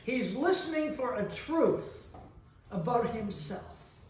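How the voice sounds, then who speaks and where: A heavily reverberant church recording, caught at some distance, of a middle-aged man giving a sermon. He speaks slowly and deliberately in a reflective style. He leaves long pauses between phrases and poses rhetorical questions. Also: very high-pitched, big pitch swings, a little mellow. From New Brunswick, Canada. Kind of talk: reflective sermon with personal anecdotes. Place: large reverberant church interior. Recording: echoey church, picked up from a distance.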